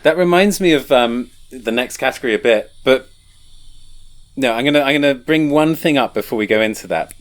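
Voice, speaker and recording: A man's voice: medium pitch, fairly quick. A man hosting a podcast who chats casually and eagerly, often agreeing with his guest. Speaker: podcast host. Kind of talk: casual conversation.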